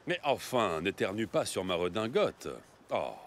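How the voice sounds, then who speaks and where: A man's voice: lowish voice, sing-song, a little slow, a little hiss or hum. A man playing a fussy, formal male attendant in a French cartoon. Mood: annoyed. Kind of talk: French cartoon dub acting.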